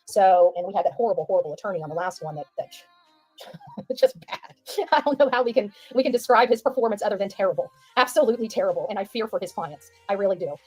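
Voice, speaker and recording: A woman's voice: medium pitch, lively intonation, fast talker, darkish tone, breathy edge, dry recording, faint music behind, low-quality recording. A woman giving informal livestream commentary, emphatic and repeating phrases for stress.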